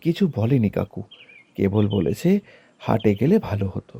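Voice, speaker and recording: Meek, mellow cartoon orphan lad played by a man. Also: lowish voice, animated, moderate pace, vocal fry, a little hiss or hum. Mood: meek, pleading. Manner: timid, deferential. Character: meek, obedient young orphan nephew, a household helper. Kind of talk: Bengali cartoon voice acting. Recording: plucked-string music behind the voice.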